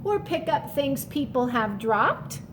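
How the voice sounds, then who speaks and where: Slow, high-voiced woman reading to children. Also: very animated, background noise. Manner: encouraging, simple explanations, addresses the child listener directly. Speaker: storytime reader for children. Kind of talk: picture book read-aloud with asides to young children.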